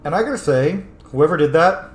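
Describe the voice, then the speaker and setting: A man's voice: a little high, brisk. A man doing casual game commentary as a let's-player, blunt, playful and sweary as he reacts aloud. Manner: animated, blunt, profane, playful. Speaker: gaming streamer, let's-player. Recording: roomy echo, faint background noise.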